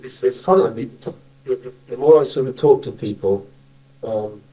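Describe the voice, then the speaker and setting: A man from Cornwall, chatting casually as an interviewer in a hesitant, halting way. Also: mid-range voice, dark tone, slight background noise, poor audio. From Cornwall, England. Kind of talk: casual chat.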